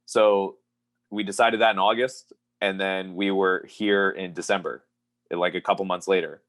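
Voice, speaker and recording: A man's voice: deep voice, little pitch movement, moderate pace, a bit bright, no echo. A man from Pennsylvania, a professional wrestler, talking as a guest in a casual interview and telling rambling stories in an easygoing way. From Pennsylvania, USA.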